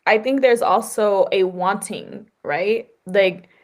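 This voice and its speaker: This woman hosts a casual interview podcast. She talks in a lively, chatty way and scatters "like" and "right?" through her sentences. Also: medium pitch, takes it slowly. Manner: animated and conversational, often adds "like" and "right?". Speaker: podcast host.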